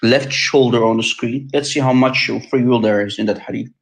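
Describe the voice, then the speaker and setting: A man's voice: medium pitch, fairly even intonation, normal speed. A man on the panel of a live online religious debate, speaking in a direct, challenging way.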